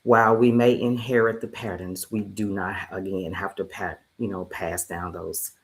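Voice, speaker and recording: A woman who works as a peer recovery specialist and life coach, speaking on a video-call panel in deliberate, list-like phrasing. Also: a bass voice, steady tone. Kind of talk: panel discussion.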